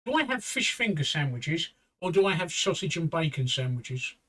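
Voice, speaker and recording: A man's voice: fairly high pitch, very animated, moderate pace, a bit bright. A man hosting a casual online chat show, joking and rambling, talking from a pub. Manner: joking, rambling, stumbles on words. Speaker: podcast host. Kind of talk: casual banter.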